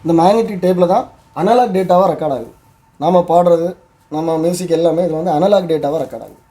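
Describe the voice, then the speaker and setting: A man with an Indian Tamil accent presents as a vlog host, explaining as he goes. His voice is very mellow and slightly high, and he speaks quickly.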